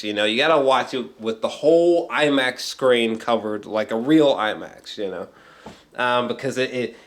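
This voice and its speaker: A man giving casual, unscripted film commentary as a reviewer, rambling with repeated words and throat clearing, in a slangy, profane and emphatic way. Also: medium pitch, fairly bright tone.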